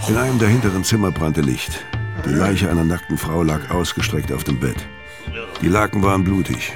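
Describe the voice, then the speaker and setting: An actor playing a hard-boiled hitman who narrates a crime radio play in a terse, matter-of-fact way. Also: deep voice. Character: hard-boiled male hitman narrating his bloody escape. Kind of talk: scripted first-person noir radio drama narration. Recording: music behind the voice.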